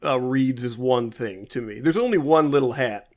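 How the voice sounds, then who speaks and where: A man's voice: medium pitch, normal speed. A young man co-hosting a podcast in casual chat, adding short, dry remarks. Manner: dry, brief interjections. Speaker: podcast co-host.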